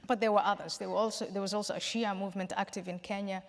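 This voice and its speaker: A woman from Somalia answers audience questions at a public event, speaking in a measured, explanatory way and sometimes restarting a phrase. Her voice sits in a middle register and carries a long hall reverb. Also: medium pitch, fairly even intonation. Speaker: panel guest. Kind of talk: public Q&A discussion. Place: large hall.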